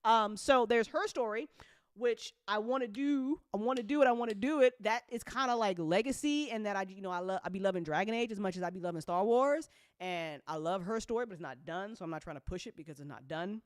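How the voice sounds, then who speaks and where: Woman host, casual unscripted chat, profane and slangy, excitable, smooth clear voice, dry close sound. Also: mid-range voice, a little fast, no room sound. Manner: excitable, emphatic with repeated phrases. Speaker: host and audio drama writer-producer. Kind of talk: casual unscripted talk to listeners.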